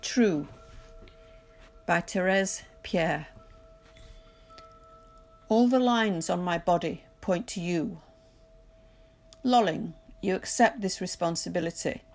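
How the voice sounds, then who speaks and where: A woman reading poetry aloud in a measured, deliberate literary recitation, pausing between phrases. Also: fairly low pitch, darkish tone. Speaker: poetry reader. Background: music.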